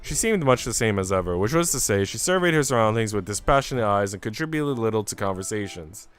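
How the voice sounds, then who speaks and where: A man streaming a visual novel, reading it aloud as expressive narration and making casual asides. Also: lowish voice, fast, clean tone. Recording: music playing behind the voice. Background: music.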